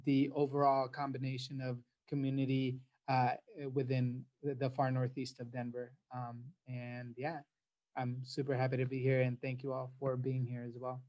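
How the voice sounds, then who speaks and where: A man with a mid-pitched, flat-toned voice introduces himself casually. He halts and searches for words, and the sound is slightly muffled and lo-fi. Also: normal speed. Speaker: guest monologuist.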